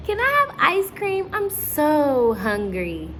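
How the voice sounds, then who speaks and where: A woman's voice: sits high, wildly swooping pitch, slow pace, fairly bright tone, pitched above the performer's own voice, heavily acted. An eager, hungry little girl from a storybook, voiced by a woman reading aloud. Her voice is lifted and childlike. The recording has noticeable background noise. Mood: eager pleading.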